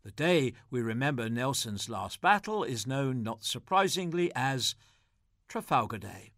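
A man's voice: mid-range voice, big pitch swings, a little slow, a bit bright, no room sound, studio quality. A man presenting a scripted audio magazine, reading trivia and introductions. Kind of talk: scripted quiz and narration.

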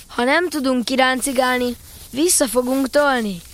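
Children's audio drama: female actor as young boy, high voice, kind, affectionate, calmly practical. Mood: reassuring. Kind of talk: radio play for children.

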